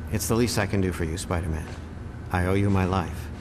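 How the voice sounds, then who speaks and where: Male actor as gentle scientist, low-pitched, mild-mannered, video game cutscene, noisy background. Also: fairly quick, lightly acted. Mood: grateful, thoughtful. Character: kindly, regretful male scientist doctor, mild and grateful.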